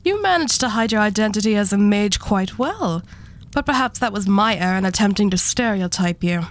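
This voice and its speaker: A woman playing an arch devil who hoards relics, speaking with unhurried, condescending and politely menacing poise in a scripted ASMR roleplay. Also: mid-range voice, animated, natural voice.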